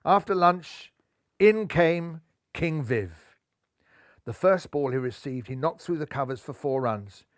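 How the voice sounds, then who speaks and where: An older man in his sixties narrates a children's book with lively, theatrical delivery. His voice sits fairly high for a man. Recorded close on a lapel mic in a living room, with a dry sound. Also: takes it slowly, no room sound. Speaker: storybook narrator. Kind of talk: expressive children's story reading. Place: living room, seated in armchair.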